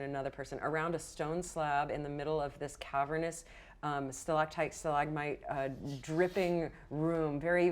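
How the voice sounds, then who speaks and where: A woman game master narrating improvised tabletop adventure in an informal, conversational, playful way. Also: sits low.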